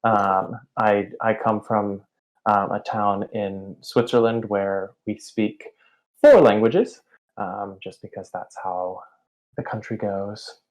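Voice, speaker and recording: A woman's voice: a bass voice, slow, darkish tone, low-quality recording. A woman playing a deferential Swiss chauffeur with a German-sounding accent, hesitant, careful and formal, in a tabletop game. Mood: polite, evasive.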